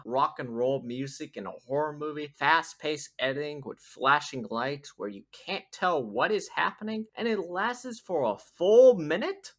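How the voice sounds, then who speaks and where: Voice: mid-range voice, a bit bright. A video review host narrating a script in a sarcastic, mocking manner.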